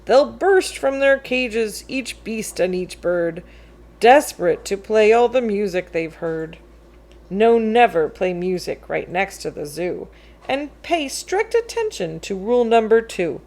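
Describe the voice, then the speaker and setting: A woman reads a children's verse story aloud at an unhurried pace. Her mid-range voice is expressive, with deliberate phrasing and weight on the rhymes. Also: mid-range voice.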